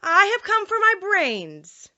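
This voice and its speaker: A woman's voice: very high voice, huge pitch swings, slow, sharp tone, lo-fi sound, pitched above the performer's own voice, exaggerated voice. A woman voicing a cheerful, earnest male scarecrow in a storybook reading, eager and sincere. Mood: uneasy.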